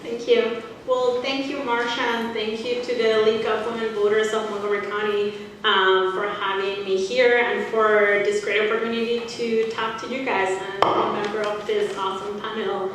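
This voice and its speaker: A woman's voice: mid-range voice, level tone, normal speed. A woman from Peru, a native Spanish speaker with a Peruvian Spanish accent, speaking as a panelist in a public presentation. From Lima, Peru. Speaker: nonprofit immigration attorney, panelist. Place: indoor panel event before an audience. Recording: very echoey room, distant mic, faint noise.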